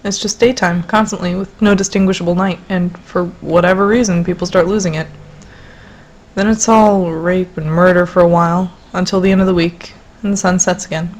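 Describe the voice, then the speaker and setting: A woman playing a jaded, sardonic young woman in a conversational audio drama, speaking bluntly and deadpan. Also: on the low side, a little fast, lightly acted, low-quality recording. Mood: dry, casual. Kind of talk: low-key conversational audio drama acting.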